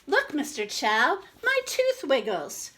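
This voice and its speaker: A woman voicing an excited, proud little girl from a storybook, calling out in drawn-out exclamations. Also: high-pitched, voice pushed up, heavily acted. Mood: eager. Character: excited proud little girl announcing her loose tooth.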